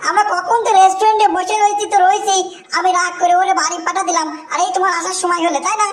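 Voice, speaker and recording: A squeaky demanding girlfriend. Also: fairly even intonation, very fast. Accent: Bogura dialect of Bangla. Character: young village girlfriend, demanding.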